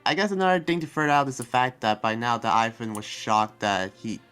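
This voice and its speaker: A man playing an earnest, soft-hearted demon researcher in an improvised anime roleplay, speaking in a halting, rambling way. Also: mid-range voice, clear, resonant tone, lightly acted. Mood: explaining, thoughtful. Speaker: roleplay player. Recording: background music under the voice.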